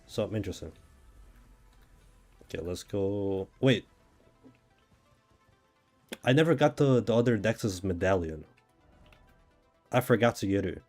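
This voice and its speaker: A man's voice: lowish voice, a little slow, long pauses, darkish tone, soft background music. A man from Brazil with a Brazilian accent, chatting casually and thinking aloud in a rambling way as he streams a game. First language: Portuguese. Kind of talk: casual chat while gaming.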